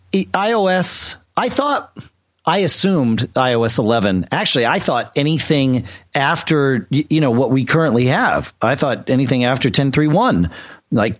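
A man's voice: medium pitch, dry recording. A man co-hosting a casual podcast, joking and thinking aloud with many restarts. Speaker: podcast co-host. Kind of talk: casual tech podcast chat.

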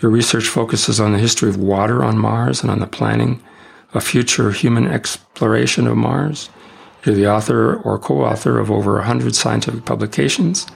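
A man hosting a podcast interview, introducing his guest and asking questions in a measured, formal way. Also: medium pitch, vocal fry, slightly rough. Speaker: podcast host.

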